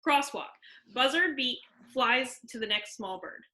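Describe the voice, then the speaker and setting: Female narrator, fairly high bright voice, reading scripted scene directions for a table read. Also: animated, measured pace.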